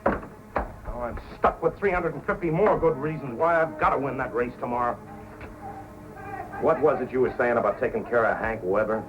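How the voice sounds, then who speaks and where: An actor playing a scheming, desperate sled racer with a conspiratorial manner in old-time radio drama style. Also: male voice, medium pitch, moderate pace, pauses often, music in the background, lightly acted. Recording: muffled, noisy old radio recording.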